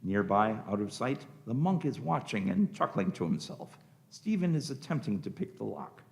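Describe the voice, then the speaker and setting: Male narrator for radio drama, gravelly and lively, scripted stage directions. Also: fairly low pitch, normal speed.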